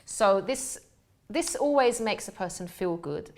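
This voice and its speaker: A woman's voice: medium pitch, animated, unhurried, darkish tone. A woman hosting a TV chat show, who laughs often as she interviews her guests. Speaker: talk show host. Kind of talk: TV studio chat.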